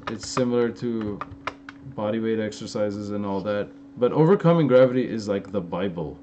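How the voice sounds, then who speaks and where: Rambling man with an Armenian-influenced accent, mellow voice. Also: on the low side, moderate pace. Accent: Armenian-influenced American. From Los Angeles. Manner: loose, rambling, many fillers and restarts, laughs easily. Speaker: fitness YouTuber answering live chat. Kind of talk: casual live-stream Q&A. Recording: slightly dull, lo-fi stream audio with faint noise.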